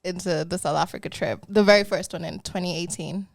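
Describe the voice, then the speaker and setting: Female radio host, lively slangy chat, dry close sound. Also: lowish voice, vocal fry, no room sound. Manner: lively, quick reactions, slangy. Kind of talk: interview chat.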